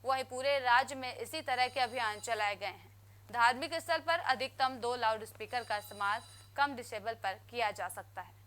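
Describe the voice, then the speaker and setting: A woman reads the news in a formal, even newsreader manner. Her voice sits high and sounds bright and clear. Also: normal speed. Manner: crisp formal newsreader delivery. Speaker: news anchor. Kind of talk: scripted news reading.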